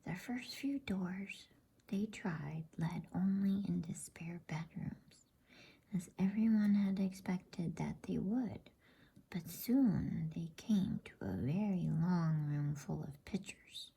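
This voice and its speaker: Slow, breathy whispering woman reading a story. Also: low voice. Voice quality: breathy, mostly unvoiced whisper. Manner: soft, hushed, unhurried delivery. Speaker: bedtime story reader.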